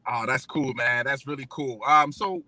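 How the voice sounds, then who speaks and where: A man's voice: medium pitch, normal speed, bright, forceful tone. A man co-hosting a live-stream show, talking in a laid-back, slangy way and laughing easily. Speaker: co-host. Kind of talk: casual live-stream chat.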